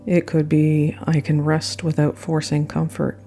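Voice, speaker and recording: A woman guiding a yoga nidra meditation with gentle, unhurried phrasing and frequent pauses. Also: low voice, monotone. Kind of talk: guided meditation narration. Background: soft meditation music.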